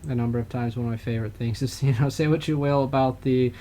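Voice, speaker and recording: Podcast co-host, male, mid-pitched, rambling and hesitant, faint noise under him. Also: fairly even intonation, fast, mellow. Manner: rambling, hesitant, frequent "uh" and restarts. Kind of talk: casual political chat.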